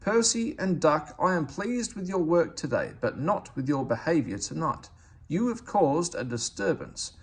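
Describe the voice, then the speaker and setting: Guy doing bossy but kind railway chief in a kids story, brisk, big pitch swings, bit husky. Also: sing-song, exaggerated voice. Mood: stern. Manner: authoritative, brisk. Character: commanding but kindly male railway boss. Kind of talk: storybook character voice.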